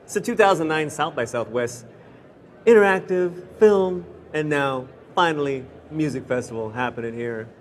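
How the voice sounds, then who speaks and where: A man hosting a casual festival interview, upbeat and chatty, firing off quick questions. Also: a little high. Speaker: interviewer host.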